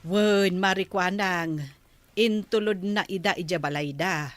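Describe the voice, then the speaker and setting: A woman's voice: lowish voice, a little slow, no echo, close to an everyday voice. An actress playing a concerned village woman in an Ilocano-accented radio drama. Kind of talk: Philippine radio drama acting.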